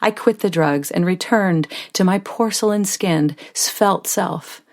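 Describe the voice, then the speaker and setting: An actress playing a tired, loving young mother who tells her story with intimate, wry warmth in a scripted monologue. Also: on the low side, takes it slowly. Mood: tender.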